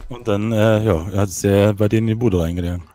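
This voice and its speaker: Mellow, low-voiced man in game chat. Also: steady tone, quick pace, soft background music. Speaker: fellow player in online roleplay. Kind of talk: casual in-character game chat.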